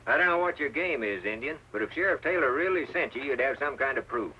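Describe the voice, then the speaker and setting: A scheming frontier deputy in a radio western. He is brusque and sneering, with a forceful, bright voice at an ordinary male pitch. The vintage recording has faint noise. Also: expressive, quick pace, rough recording. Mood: scornful, dismissive. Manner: brusque, drawn to threats and sneers. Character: scornful, scheming crooked deputy in a frontier town. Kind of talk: old-time radio western acting.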